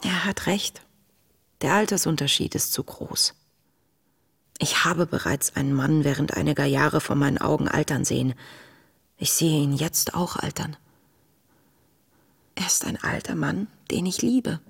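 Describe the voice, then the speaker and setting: An actress playing an intense, devout young woman diarist in a radio play, speaking in a hushed, introspective, tender and grieving way. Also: low voice, measured pace, vocal fry, pauses often, husky, heavily acted. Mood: tender, resolute. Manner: hushed, introspective, often near a whisper. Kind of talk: intimate radio play monologue, diary reading.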